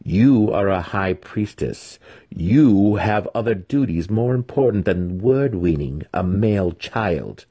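A man voicing an imperious dark elf matriarch for an audiobook, with a commanding, deliberate and menacing delivery. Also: fairly low pitch, animated, slightly rough, voice pushed up, exaggerated voice. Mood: cold threat.

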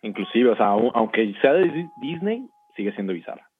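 A man's voice: medium pitch, sing-song, fairly quick. A man chatting casually as a podcast co-host. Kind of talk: casual chat. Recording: thin phone-like sound, faint music. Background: music.

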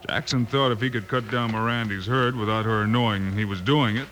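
Man playing a bright-voiced commanding Western lawman. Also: fairly low pitch, fairly quick, slight background noise, close to an everyday voice. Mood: stern, explaining. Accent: American. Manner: firm, clipped authority. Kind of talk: 1950s radio western acting.

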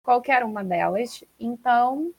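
A woman with a Brazilian accent and a high voice hosts a podcast. She chats casually and informally, with animated, slangy phrasing. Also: unhurried, gravelly, slightly rough, highs cut off. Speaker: podcast host. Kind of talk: casual chat about books.

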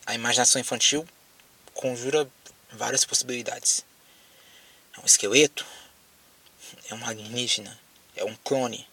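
Man playing lonely apocalypse survivor, Brazilian accent, rough husky voice, halting anguished monologue, slightly distant mic. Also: mid-range voice, fairly even intonation, bright, forceful tone. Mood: haunted, reflective. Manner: hesitant, halting, repeats words. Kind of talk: audio drama monologue spoken as a phone call.

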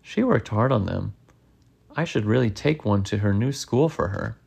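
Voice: mid-range voice, animated, creaky, darkish tone, husky, full character voice. A storybook reader voicing a mild, kindly male teacher who muses to himself. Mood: musing. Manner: unhurried, talking to himself.

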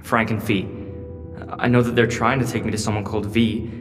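Anxious teenage boy, played by a man, audio drama, halting and inward, reverberant sound with music underneath. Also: lowish voice, flat delivery, lightly acted. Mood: puzzled, resolving. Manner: hesitant, halting, self-questioning. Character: anxious, conflicted teenage boy stranded from home. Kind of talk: audio drama acting with inner monologue. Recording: long reverb, clear music behind.

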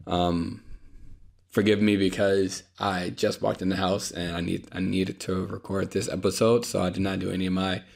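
A man's voice: deep voice, level tone, normal speed, vocal fry, a little mellow. A young man hosting a solo podcast, talking in a tired, apologetic way, with lots of fillers and restarts, his voice a bit dry. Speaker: podcast host. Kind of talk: unscripted solo podcast monologue. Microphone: podcast microphone.

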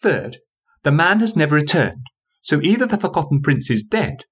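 A man voicing a sharp, skeptical young woman lawyer who speaks in a cutting, coolly dismissive way. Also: on the high side, sing-song, moderate pace, breathy edge, lo-fi sound, pitched above the performer's own voice, full character voice.

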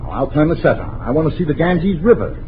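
A long-suffering suburban father in a 1940s radio comedy. He blusters and grumbles with extremely animated pitch. The vintage broadcast is muffled and plainly noisy. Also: fast talker. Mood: weary grumbling.

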